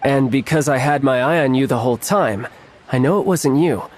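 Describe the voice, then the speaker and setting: An actor playing a suave, playful young envoy in a game cutscene, smooth, teasing and glib. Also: male voice, mid-range voice, normal speed, a little mellow, lightly acted. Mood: playful, reassuring.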